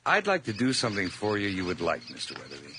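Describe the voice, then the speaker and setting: An actor playing an obsessive, unhinged farmhand in a radio drama, theatrical and deliberate, courteous in an eerie way. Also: male voice, sits low, wildly swooping pitch, noisy background. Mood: earnest. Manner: theatrical, deliberate, repeats phrases intensely. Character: obsessive, unhinged farmhand narrator confessing murder, eerily courteous. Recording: music bed under the voice.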